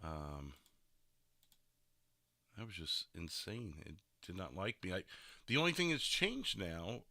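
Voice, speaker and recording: A man hosts a casual livestream with a sharp, very bright voice. His pitch sits on the low side and moves around a lot, giving him a playful, animated feel. The sound is dry and close. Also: slow pace, dry recording. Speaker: livestream podcast host. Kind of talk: casual joking chat.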